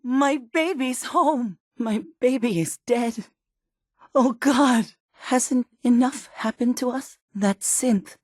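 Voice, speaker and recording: An actress playing a worried, protective middle-aged mother in a post-apocalyptic video game, speaking earnestly and emotionally, with halting phrases. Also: a little high, no room sound, studio quality. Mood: anguished. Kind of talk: dramatic video game voice acting.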